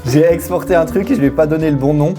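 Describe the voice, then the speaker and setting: A man, not a native French speaker, chats in a flustered, laughing way as he works against the clock. He swears freely when things go wrong. Clear background music plays under his voice. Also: on the high side, very fast. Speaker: video editing contestant. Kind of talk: casual banter while working.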